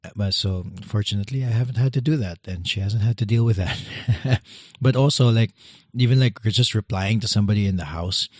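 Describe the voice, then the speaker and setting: Man in his thirties, hoarse and soft-spoken, low voice, dry close mic, hesitant solo podcast host. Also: a little fast, no echo. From Philippines. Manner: quiet, hesitant, many restarts. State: losing his voice, speaking softly. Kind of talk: casual solo podcast intro.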